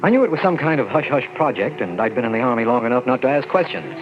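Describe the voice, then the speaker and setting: A man's voice: mid-range voice, expressive, quick pace, natural voice. Man doing a spooked young army guy telling his story, lots of hiss, muffled old radio sound. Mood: uneasy. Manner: tense first-person storytelling. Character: young army lieutenant, shaken and haunted. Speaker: narrator of the story. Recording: noisy lo-fi old radio recording.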